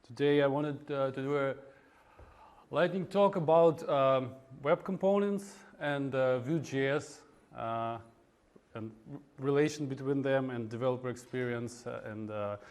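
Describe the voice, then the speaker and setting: A man from Russia with a Russian accent giving an informal technical talk at a meetup, in a halting, hesitant way with frequent pauses. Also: mid-range voice, darkish tone. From Russia (Moscow). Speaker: conference speaker, web developer. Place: indoor meetup room in front of an audience.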